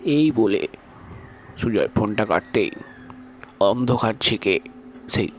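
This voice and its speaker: A man narrating a horror story in a measured, steady way. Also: lowish voice, faint music behind.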